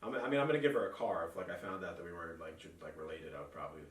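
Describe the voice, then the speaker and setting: Low fast-talking New York man. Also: roomy sound, far from the mic. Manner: rambling, joking, lots of "like". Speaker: podcast host. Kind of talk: casual chat.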